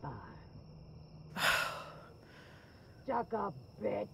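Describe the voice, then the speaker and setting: A woman's voice: mid-range voice, lively intonation, drawn-out words, vocal fry, very noisy, full character voice. A woman acting as a brazen, bitter truck-stop prostitute in an audio drama. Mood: propositioning.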